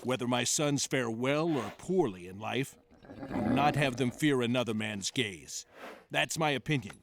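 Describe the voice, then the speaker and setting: Plain spoken proud tradesman dad, gravelly, medium voice, oratory, cartoon. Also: medium pitch, animated, normal speed, background noise. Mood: firm, dignified.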